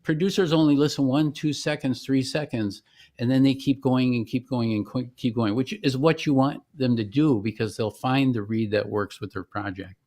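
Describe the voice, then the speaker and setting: A man presenting a software demo in an informal, conversational way, as the founder showing his product on screen. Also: medium pitch, moderate pace. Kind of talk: informal screen-share demonstration.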